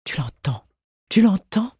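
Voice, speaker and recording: A reader voicing an ailing, teasing older mother in a literary audiobook, raising the voice for her sharp, fretful words. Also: medium pitch, animated, moderate pace, no echo, studio quality, voice pushed up, natural voice.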